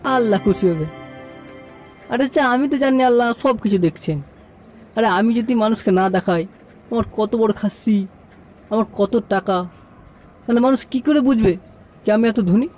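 A man's voice: sits high, moderate pace, pauses often, darkish tone, faint music behind, close to an everyday voice. A man voicing an exclamatory, showy young nephew in an amateur cartoon. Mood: boastful, teasing. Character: showy young nephew, boastful, later remorseful.

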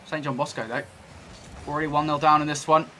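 A British man commentating a high school esports match, chatty with jokey asides. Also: steady tone, quick pace, pushed and bright, slight background noise. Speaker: esports caster.

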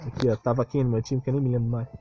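A man's voice: mid-range voice, little pitch movement, racing, mellow, highs cut off, soft background music. A Brazilian man ranting casually and unscripted as a gaming video creator, defensive and exasperated, on a close, cheap-sounding mic. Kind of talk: casual unscripted rant over game footage.

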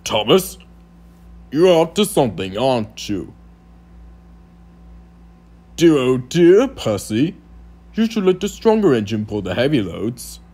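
Man voicing a raspy, pompous cartoon steam engine. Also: mid-range voice, warm tone, heavily acted. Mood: suspicious, smug. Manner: pompous, theatrical, sneering. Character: boastful, scornful silver steam engine, male, pompous rival. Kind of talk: amateur cartoon voice audition.

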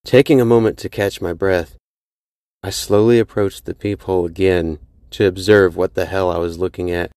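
A man's voice: low voice, fairly even intonation, moderate pace, a little mellow, no echo. A man narrating a first-person horror story in a dramatic, suspenseful storytelling manner. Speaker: podcast host and narrator. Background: music behind the voice.

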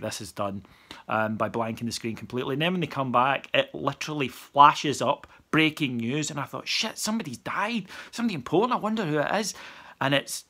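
A man's voice: mid-range voice, pushed and bright. A man, giving a dry, wry post-match reaction as podcast host, recorded on a phone at arm's length in a small room. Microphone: phone camera at arm's length.

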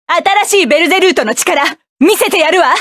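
High, dry game voice: fierce proud young woman pilot, commanding battle shouts. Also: lively intonation, brisk, no echo. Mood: defiant. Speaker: mecha pilot character. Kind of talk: video game battle voice lines.

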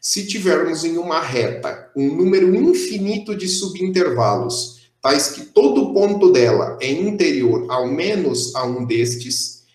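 A young man with a fairly high voice lectures on mathematics from a room with audible echo. He talks in a steady, explanatory way and drops "é" fillers between his points. The sound comes through a computer mic on a video call. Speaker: math lecturer. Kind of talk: online math lecture.

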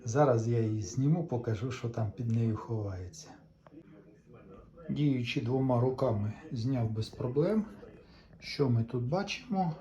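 A man's voice: medium pitch, animated, a little slow, warm tone, muffled recording. A man giving casual hands-on commentary, chatting to viewers and thinking aloud as he works. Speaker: host of a tape recorder restoration video.

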